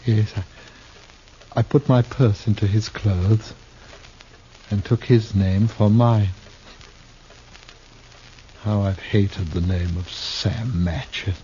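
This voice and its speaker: A slow, haunted, theatrical fugitive actor. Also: male voice, on the low side, steady tone, warm tone. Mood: haunted confession. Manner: theatrical, halting, deliberate. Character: haunted, weary fugitive Shakespearean actor, grandly melancholy. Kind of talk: 1950s theatrical radio drama acting. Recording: dull, hissy old radio recording.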